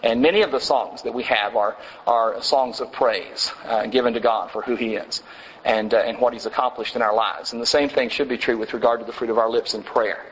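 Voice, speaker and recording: A man teaching a church class in a casual, halting way, with many fillers and restarts. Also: mid-range voice, steady tone, in a large hall, slight background noise, low-quality recording. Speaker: preacher teaching a congregation class. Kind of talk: informal Bible class teaching. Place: church meeting room.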